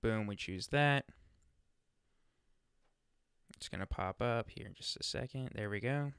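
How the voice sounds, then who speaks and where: A man presenting a casual software walkthrough, chatting informally and thinking aloud as he demonstrates, speaking into a close USB microphone. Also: lowish voice, normal speed, clean tone, dry recording. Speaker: tutorial presenter.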